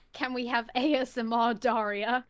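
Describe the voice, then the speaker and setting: A woman born in America and living in England, co-hosting a casual chat stream, chatty and quick to laugh. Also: a little high, muffled recording. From born in the United States, lives in England. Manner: chatty, giggly, lots of fillers. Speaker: co-host.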